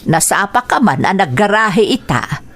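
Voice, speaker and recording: A woman with an Ilocano accent playing a caring, protective older grandmother in a radio drama, with drawn-out motherly interjections. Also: medium pitch, very animated, a bit bright. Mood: gently chiding. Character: older grandmother, caring and defensive of her daughter.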